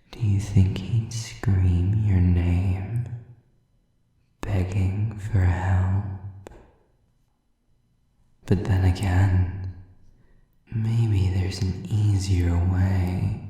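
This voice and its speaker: A man voicing a sadistic, cold, mockingly possessive demon in an audio roleplay, with slow, deliberate, breathy, taunting whispers. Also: low voice, warm tone, very echoey, recorded from a distance, heavily acted. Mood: cruel menace, then cool contempt. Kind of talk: intimate audio roleplay acting.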